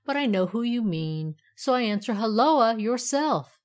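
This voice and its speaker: A woman's voice: fairly high pitch, animated, unhurried. Living fireplace poker, male, blithe and smug storybook character, chatty show-off , performed by a woman. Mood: jovial, chatty. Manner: jovial, boastful, chatty, sing-song in verse.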